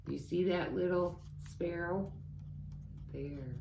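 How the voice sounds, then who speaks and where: An American woman, middle-aged, reading a children's story aloud expressively and pausing to talk to her young listeners. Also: fairly low pitch, gravelly, noisy background. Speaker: teaching assistant reading to children.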